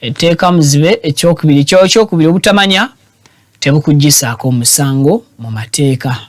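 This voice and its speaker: A Ugandan man hosting a show, giving animated and teasing political commentary that switches languages mid-sentence. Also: a little high, fast, no echo, studio quality.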